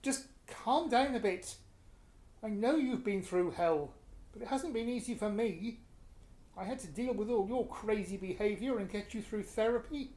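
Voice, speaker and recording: A man's voice: high voice, normal speed, warm tone, close to an everyday voice. A man with a British accent playing an embittered, vain middle-aged actor husband in a radio play, scornful and exasperated. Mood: bitter, angry. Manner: scornful, exasperated, self-justifying. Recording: echoey room.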